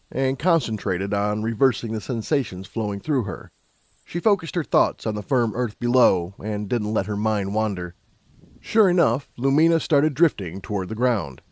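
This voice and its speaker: A male narrator reads a scripted story in a fairly low voice. His delivery is measured and dramatic, and the recording is dry with little room sound. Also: darkish tone, no echo. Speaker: audiobook narrator.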